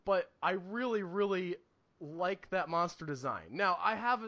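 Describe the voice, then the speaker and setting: A man with a high, bright voice talks as a podcast co-host. He chats casually and gives his opinions in a wry, slightly sweary way. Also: a little slow, lo-fi sound. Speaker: podcast co-host reviewing movies. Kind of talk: casual chat.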